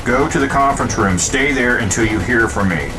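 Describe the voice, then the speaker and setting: An actor playing a cocky, wisecracking billionaire inventor in a video game cutscene, flippant and sardonic. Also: male voice, medium pitch, fairly quick, loud music behind the voice, natural voice, rough recording. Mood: urgent.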